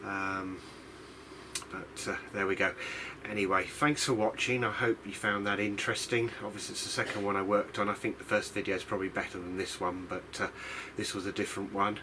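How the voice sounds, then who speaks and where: A man from the UK with a fairly low voice presents a casual hobby video diary. He rambles and hesitates, often putting himself down mildly. There is clear noise behind his voice. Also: little pitch movement, a little fast. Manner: rambling, hesitant, self-deprecating. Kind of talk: casual unscripted demonstration.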